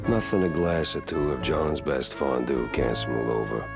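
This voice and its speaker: An actor playing a hard-boiled, world-weary mouse private detective in a noir cartoon, with a low, gruff American voice and a wry, laconic, slangy way of talking. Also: male voice, moderate pace, over music. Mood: brooding, wry. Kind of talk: 1930s noir detective acting with brooding voice-over.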